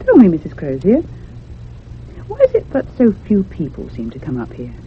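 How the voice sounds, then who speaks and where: An actress playing an anxious, curious woman in a radio drama, speaking in a measured, literary and expressive way. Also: low-pitched, slight background noise. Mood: probing. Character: anxious, curious convalescent unmarried woman narrating a haunting. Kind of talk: radio drama acting and narration. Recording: muffled, phone-like old radio sound.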